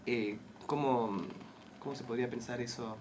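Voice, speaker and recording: A man's voice: medium pitch, fairly even intonation, normal speed, slight background noise. A man asking an interview question in a tentative way.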